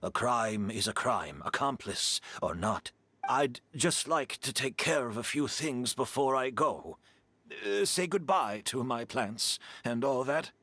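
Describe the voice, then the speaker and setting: A frail remorseful perfumer. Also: male voice, medium pitch, unhurried, exaggerated voice. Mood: resigned. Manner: calm, confessing, earnest.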